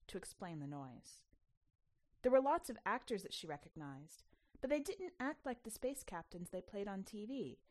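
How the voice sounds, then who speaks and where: A woman reading a short story as narrator, in an expressive scripted storytelling style. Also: medium pitch. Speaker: podcast host and story narrator.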